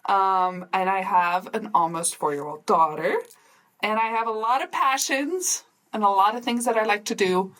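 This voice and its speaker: A woman in her mid-thirties speaks in a medium-pitched voice, chatting informally as a podcast host. She tells personal stories in a giggly, conversational way. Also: normal speed, a bit bright. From Switzerland. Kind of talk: casual chat, sharing personal stories.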